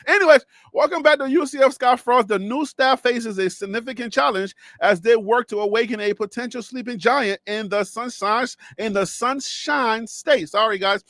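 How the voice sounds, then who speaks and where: High-voiced joking man with a dry sound. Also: normal speed, hardly any pauses, a bit bright, no echo. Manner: rambling, joking, laughs often, talks to viewers. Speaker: sports show host. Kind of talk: casual solo sports talk with jokes.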